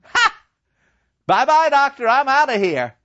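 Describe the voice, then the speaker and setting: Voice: high voice, sing-song, a little slow, a bit bright, dry recording, voice pushed up, exaggerated voice. A storyteller voicing a scheming devil, taunting and sardonic, in a dull, dry lo-fi recording. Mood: gleeful. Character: mocking scheming devil, gleeful.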